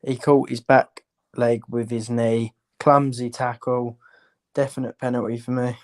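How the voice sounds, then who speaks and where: A man's voice: mid-range voice, little pitch movement, a little slow. A man giving blunt, opinionated football analysis as a panellist in casual chat.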